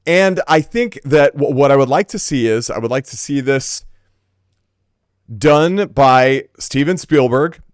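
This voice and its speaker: A man's voice: mid-range voice, measured pace, clear, resonant tone, no echo, studio quality. A man co-hosting a podcast, giving his opinions in casual, emphatic talk, repeating phrases for stress. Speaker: podcast co-host.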